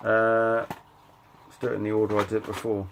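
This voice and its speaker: Low-voiced middle-aged man, slightly gravelly. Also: completely flat delivery, a little hiss or hum. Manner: halting, offhand, reads out titles in short bursts. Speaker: record collector and channel host. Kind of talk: casual show-and-tell commentary.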